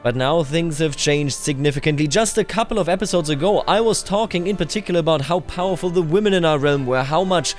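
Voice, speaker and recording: A man with a somewhat high voice gives roleplay commentary on a game over faint music. He phrases things deliberately and repeats for emphasis. His voice sounds a little tender and strained. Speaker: gaming video host.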